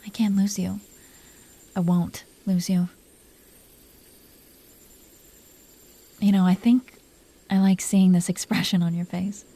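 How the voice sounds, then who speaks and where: Woman acting as a dragon queen, romantic audio roleplay, dark mellow timbre, soft and breathy, intimate, affectionate, gently teasing, protective. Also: medium pitch, level tone, close to an everyday voice. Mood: protective, adoring. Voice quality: soft, breathy, often dropping into whispers. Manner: affectionate, teasing, slow confiding delivery. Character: protective dragon queen, tender, teasing, smitten with her mate.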